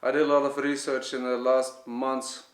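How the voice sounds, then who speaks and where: A man with a Dutch accent, a native Dutch speaker, giving an earnest, unscripted personal testimony, often halting and searching for words. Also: medium pitch, level tone. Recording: echoey, roomy sound.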